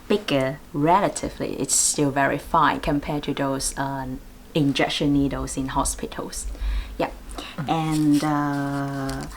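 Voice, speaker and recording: A woman acupuncturist hosting a clinic video, patiently explaining needles step by step with light laughs. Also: deep voice. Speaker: acupuncturist and host. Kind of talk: explanatory demonstration. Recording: distant mic, faint room noise.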